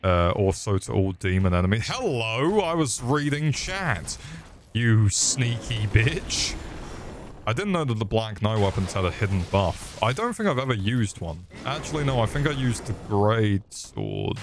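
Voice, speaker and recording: A man's voice: on the low side, big pitch swings, measured pace, background noise. A young man streaming a game, swearing and joking sarcastically as he plays. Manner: sweary, sarcastic, crude jokes. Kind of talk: swearing live gameplay commentary.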